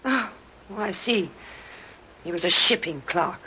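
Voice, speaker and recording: An actress playing a British widow in a radio crime drama, with a deliberate, genteel, faintly scornful manner. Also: female voice, mid-range voice, animated, pushed and bright. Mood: cool, wry. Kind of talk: old-time radio drama acting. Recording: muffled old radio recording with hiss.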